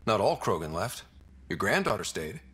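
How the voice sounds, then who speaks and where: An actor playing a young male expedition leader, calm and earnest. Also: fairly low pitch, moderate pace, slightly rough, heavily acted.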